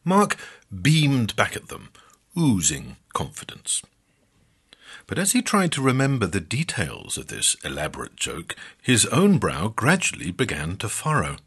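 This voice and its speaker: Expressive male storyteller with slightly husky voice. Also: on the low side, a little slow. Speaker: storyteller and podcast narrator. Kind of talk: scripted storytelling narration.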